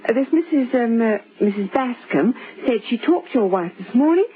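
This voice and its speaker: A polite, attentive woman played by an actress speaks high and with very lively swings of pitch. It sounds like a vintage radio play, slightly muffled. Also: moderate pace, a little hiss or hum, natural voice. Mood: concerned, helpful. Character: polite, solicitous woman of a suburban drama. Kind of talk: old-time radio drama acting.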